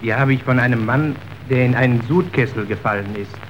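An actor playing a poor, evasive young lad in a radio drama, with curt answers. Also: male voice, medium pitch, fairly quick, lightly acted. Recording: dull, noisy old radio recording.

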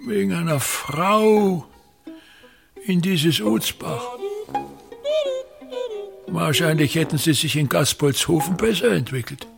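Pompous, gravelly theatre tyrant. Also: male voice, fairly high pitch, fairly bright tone, heavily acted. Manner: declamatory, contemptuous, repeating words with grand emphasis. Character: pompous, bitter, ranting old actor-manager of a touring theatre. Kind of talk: theatrical German-language radio play monologue.

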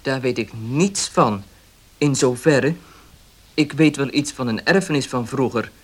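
An actor in a Dutch radio play as a wary baker, speaking directly and guardedly. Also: male voice, medium pitch, unhurried, breathy edge. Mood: guarded, firm. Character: wary, blunt baker defending his honest father.

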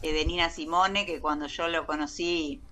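Bright, enthusiastic Argentine actress. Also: female voice, sits low, steady tone, moderate pace. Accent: Rioplatense Spanish. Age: young adult. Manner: enthusiastic, slangy, laughing. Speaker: actress guest. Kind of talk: interview over a call app. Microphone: remote call.

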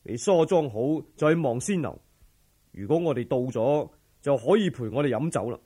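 A man's voice: mid-range voice, expressive, normal speed, mellow, husky. A man playing an arrogant, violent imperial brother-in-law in a Cantonese audio drama, blustering and scornful. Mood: grudging, commanding. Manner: blustering, scornful, with disdainful snorts.

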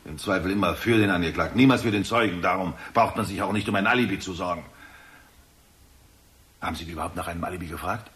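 A man's voice: low-pitched, fast talker, fairly bright tone. An actor playing an anxious, insistent older father in a German radio drama, agitated and indignant, tripping over his words. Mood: bitter, resigned. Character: anxious, bitter, insistent father accusing his own son.

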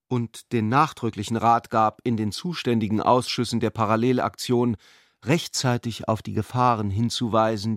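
A man narrating a literary radio drama in a measured, articulate way. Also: on the low side, no room sound, clean studio sound.

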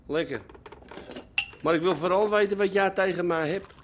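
A man's voice: sits high, moderate pace, slight background noise, lightly acted. An actor playing a blunt, suspicious sex-club owner in a radio drama, pressing his point in colloquial speech. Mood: casual. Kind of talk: naturalistic Dutch radio drama dialogue.

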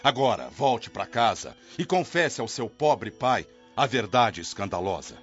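An actor playing a stern, solemn head of a monastery in a Brazilian radio drama, speaking with grave authority. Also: male voice, mid-range voice, sing-song, a little slow. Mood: stern reproach. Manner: solemn, commanding. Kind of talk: dramatic radio play acting. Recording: dull lo-fi audio with music.

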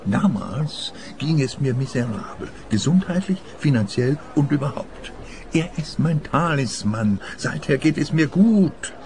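A man's voice: fairly high pitch, lively intonation, unhurried, a little mellow. An actor playing a genteel, frail man in his seventies, chatty and superstitious, in radio play dialogue. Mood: solemn, earnest. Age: 70s. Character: contented, frail seventy-year-old pensioner, superstitious and plaintive.

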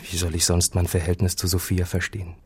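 An actor playing a solemn, brooding farmer in a literary radio drama, speaking gravely and deliberately. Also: male voice, deep voice, monotone, a little mellow.

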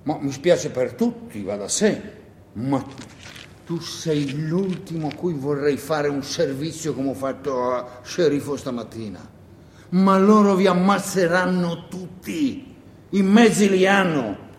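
A wheedling rural man in a stage drama, his voice a bit high for a man. He rambles, stammers and repeats himself to persuade. The sound is echoey, with long hall reverb. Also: slow, a little hiss or hum. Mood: coaxing, insistent. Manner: hesitant, repetitive, coaxing, full of asides. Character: wheedling, rambling country man urging a young partisan to hide. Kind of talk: theatrical monologue, radio stage drama.

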